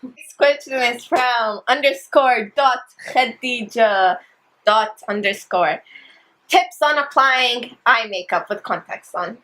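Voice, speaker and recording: A woman, the host's younger sister, slowly reading viewers' questions from behind the camera with the odd teasing interjection. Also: a little high, a bit bright, rough recording.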